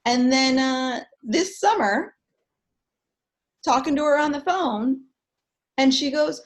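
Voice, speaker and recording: A woman's voice: high voice, slow pace. A woman therapist leading an online webinar over a video call, telling stories and talking in a chatty way with plenty of fillers. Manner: chatty, animated storytelling with lots of fillers. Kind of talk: webinar talk.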